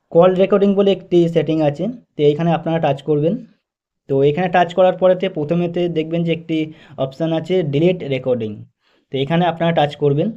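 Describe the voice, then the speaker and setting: A man with a fairly high, very mellow voice, guiding listeners through instructions step by step. He is methodical and repeats his phrasing as he goes. The recording is a slightly dull, lo-fi voice-over. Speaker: tech tutorial host. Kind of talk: step-by-step phone tutorial narration.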